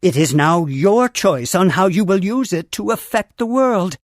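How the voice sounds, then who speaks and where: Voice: medium pitch, a little slow, dry recording, lightly acted. Actor playing a theatrical, wise game mentor creature, dry recording. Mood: solemn, regretful. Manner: theatrical, solemn, formal storytelling delivery. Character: wise male Funkey mentor, grand, earnest tree guardian. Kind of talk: scripted video game character dialogue.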